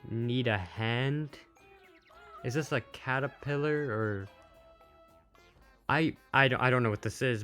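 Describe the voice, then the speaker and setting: A man giving casual, off-the-cuff video commentary as a host, plain-spoken and a little unsure. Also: mid-range voice. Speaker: YouTube host, game news commentator. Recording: music playing behind the voice.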